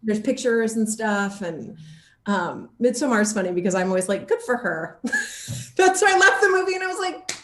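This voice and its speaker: An American woman talks casually as an interview guest. Her voice sits at a middle pitch and she is animated and giggly. The recording is noticeably echoey, like a live room. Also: moderate pace. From Seattle, Washington, USA. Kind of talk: casual chat. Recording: roomy, echoey sound.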